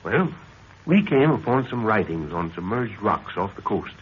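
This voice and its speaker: Emphatic old-time radio scientist-explorer, deep-voiced. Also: male voice, very animated, normal speed. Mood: eager, intent. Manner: emphatic, declamatory. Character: older obsessed scientist-explorer, stubborn, visionary, commanding. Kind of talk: 1930s radio adventure serial acting. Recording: dull, noisy 1930s radio recording.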